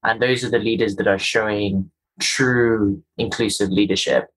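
A man's voice: fairly low pitch, fairly even intonation, normal speed, a bit bright, breathy edge, rough recording. A man from Kenya, a guest in an interview, explaining his ideas earnestly, often in lists of rhetorical questions. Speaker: guest, culture and inclusion executive.

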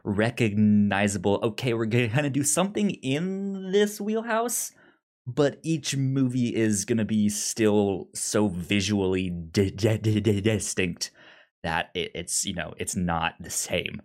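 A man with a pronounced stutter, speaking in a halting but enthusiastic way as a podcast co-host casually discussing films. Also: lowish voice, a little mellow. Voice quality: pronounced stutter, repeating sounds and words.

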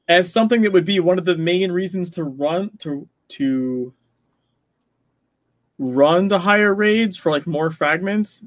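A man's voice: sits high, no echo. A man talking casually in a gaming discussion, explaining things with hedging asides.

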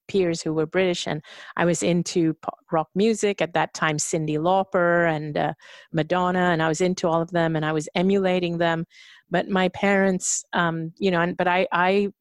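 A woman from Hong Kong with a fairly low voice speaks as an interview guest. She tells her story hesitantly, with frequent pauses and restarts. The recording sounds dry and close. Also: no room sound. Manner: hesitant, with many fillers and restarts.

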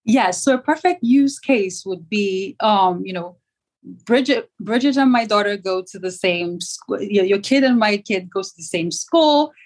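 A woman's voice: mid-range voice, animated, a little slow, a little mellow. Nigerian-raised woman founder, conversational. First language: Yoruba. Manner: conversational, many fillers and restarts. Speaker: startup founder, guest. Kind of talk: casual interview answers.

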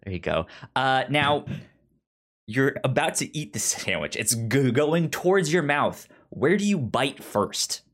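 A man with a mid-pitched voice talks in casual back-and-forth as a co-host. He stutters, often repeating the first sound or syllable of a word before getting it out. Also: big pitch swings, measured pace, fairly bright tone. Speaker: podcast co-host. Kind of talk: casual banter chat.

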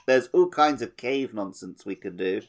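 A man co-hosting a podcast, chatting casually about a video game with wry, dry humour. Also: mid-range voice. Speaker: podcast co-host.

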